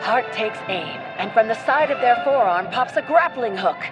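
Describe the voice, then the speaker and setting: A woman's voice: a little high, lively intonation, moderate pace, close to an everyday voice, poor audio. A woman narrator reading scripted adventure storytelling in a theatrical, literary manner. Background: music.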